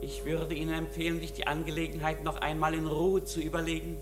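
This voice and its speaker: An actor playing a cold, condescending, domineering lawyer in a German radio play, measured and pompous. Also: male voice, a little high, monotone, faint music behind, close to an everyday voice. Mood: cool. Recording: clear background noise and hum.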